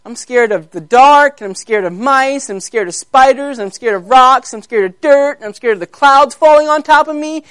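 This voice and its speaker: A man's voice: very high-pitched, very animated, fairly quick, few pauses, no echo, voice pushed up. A man voicing a timid little baby lion who is scared of everything, stammering and whimpering. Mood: anxious.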